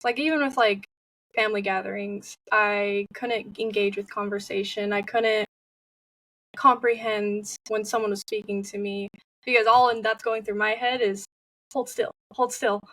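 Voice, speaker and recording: A woman's voice: medium pitch, steady tone, moderate pace, fairly bright tone, no echo, distant mic, low-quality recording. A young woman speaking as an interview guest, candid and open with frequent fillers. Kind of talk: personal interview talk.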